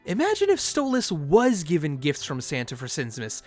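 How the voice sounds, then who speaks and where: A man's voice: on the high side, huge pitch swings, normal speed, darkish tone, soft background music. A man narrating an informal fan video essay, with playful, conversational asides.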